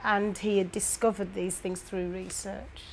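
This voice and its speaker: Woman, medium pitch, informal panel reviewer. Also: level tone, unhurried, vocal fry, a little mellow, slight background noise, low-quality recording. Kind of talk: informal spoken book review.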